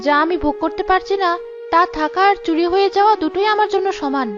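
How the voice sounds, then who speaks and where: A woman's voice: very high voice, quick pace. A woman voicing a sensible, long-suffering village wife in a cartoon, plaintive yet patient. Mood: resigned, reassuring. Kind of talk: cartoon dialogue. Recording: dull lo-fi audio with music behind.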